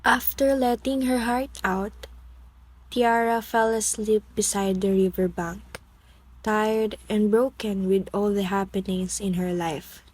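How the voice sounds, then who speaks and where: A woman's voice: mid-range voice, steady tone, takes it slowly, darkish tone, soft background music, rough recording. A young woman reading scripted narration for a radio drama. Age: young adult.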